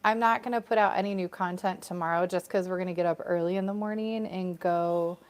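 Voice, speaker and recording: A woman's voice: on the low side, steady tone, brisk. Chatty upbeat American woman. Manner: chatty, upbeat, reads out viewer comments. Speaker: co-host of a live stream. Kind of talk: casual live-stream chat.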